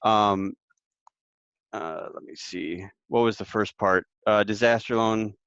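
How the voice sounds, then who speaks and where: A man on an online call answering questions as an expert panelist, explaining carefully and a little haltingly without a script. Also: lowish voice, steady tone, fairly bright tone, clean tone, no echo. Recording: slightly dull online call audio.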